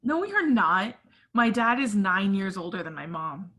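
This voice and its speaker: A woman playing an eager, lovestruck teenage girl who gushes excitedly in a comedy table read. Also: mid-range voice, a little slow. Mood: gushing, lovestruck.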